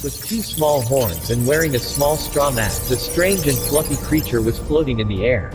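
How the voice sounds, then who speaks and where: A man narrating an audio drama as an ominous storyteller, deliberate and solemn. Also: medium pitch, lively intonation, over music, natural voice, rough recording. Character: ominous storybook narrator describing a strange creature.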